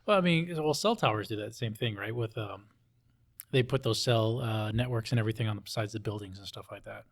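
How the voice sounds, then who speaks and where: A man's voice: fairly low pitch, brisk. A middle-aged man talking casually as a podcast host, informal and plain-spoken. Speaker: podcast host, police officer.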